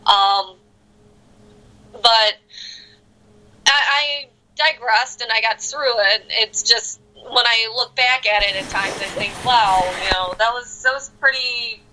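Young midwest woman, high bright voice, chatty storyteller, laughs a lot. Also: takes it slowly, slight background noise, poor audio. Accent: American Midwest. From South Korea. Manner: animated storyteller who laughs often. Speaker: guest. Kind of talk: casual conversation.

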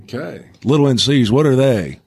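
A man's voice: medium pitch, lively intonation, moderate pace, vocal fry, a little mellow, breathy edge. A man hosting a casual school podcast, encouraging his co-hosts and prompting them with questions. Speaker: podcast host.

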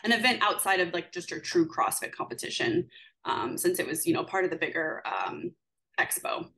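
Low-voiced woman guest, friendly and casual. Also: sing-song, normal speed, a bit bright. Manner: friendly, laughs easily, many fillers. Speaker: podcast guest, apparel company owner. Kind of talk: casual interview.

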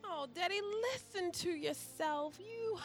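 Woman playing anxious young daughter, very high voice, slow, pleading, audio drama with music underneath. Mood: hurt, pleading. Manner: pleading, hesitant, melodramatic.

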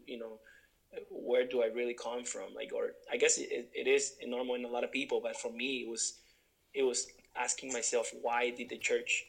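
A man's voice: medium pitch, gravelly, fairly bright tone, low-quality recording. Earnest young man from Honduras. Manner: earnest, searching, many restarts and fillers. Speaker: guest. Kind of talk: reflective interview conversation.

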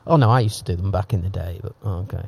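A man's voice: low voice, racing, gravelly, husky, lo-fi sound. A man hosting a casual podcast, teasing his guests with wry banter. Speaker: podcast host. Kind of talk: casual chat.

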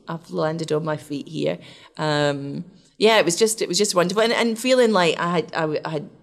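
A middle-aged woman with a Scottish accent, talking in a chatty, animated way. Her voice is fairly low and has a gravelly edge. She is a guest reminiscing in an interview. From west of Scotland. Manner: chatty, animated, laughs often. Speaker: interview guest, research scientist. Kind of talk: casual storytelling interview.

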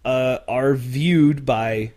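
A man's voice: mid-range voice, drawn-out words, a little mellow. A young adult man hosting a casual podcast, loose and talkative, musing with fillers. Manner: loose and joking, then reflective with fillers. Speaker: podcast host.

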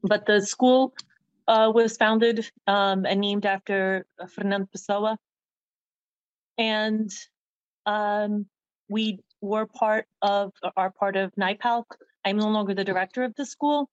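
A woman's voice: mid-range voice, steady tone, slow pace, no echo. American woman, dry muffled audio. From United States. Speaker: panelist. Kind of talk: self-introduction.

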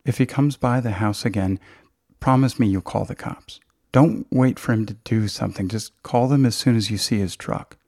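A man's voice: on the low side, normal speed, a little mellow, dry recording, clean studio sound. A man reading the part of a worried young college woman in an audiobook, with a firm, urging, questioning manner. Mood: concerned, urging.

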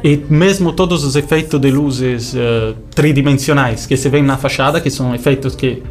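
A man's voice: mid-range voice, moderate pace, soft background music. A man from Italy speaking as an interview guest in non-native speech, with an Italian accent touched by Brazilian, explaining his work. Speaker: interview guest, visual show creator.

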